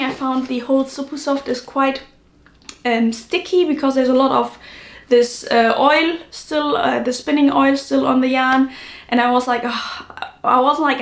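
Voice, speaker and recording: High-voiced woman with a cold, echoey room. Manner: rambling, self-correcting, informal. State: lingering cold, voice not fully recovered. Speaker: knitting podcast host. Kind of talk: casual solo chat.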